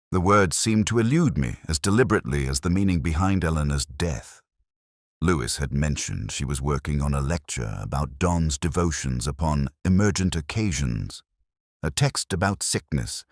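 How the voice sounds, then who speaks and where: Man as a very deep-voiced, brooding detective narrator. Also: no echo, clean studio sound, exaggerated voice. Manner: measured, brooding, reflective. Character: melancholy, cultured male police inspector, world-weary and introspective. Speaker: first-person narrator of a detective drama. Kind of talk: slow, literary scripted audio-drama narration.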